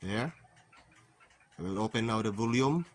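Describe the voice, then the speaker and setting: A man's voice: fairly low pitch, lively intonation, unhurried. A man narrating a hands-on repair tutorial, explaining plainly step by step with non-native phrasing. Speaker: repair channel host. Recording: clearly noisy recording with ambient hiss.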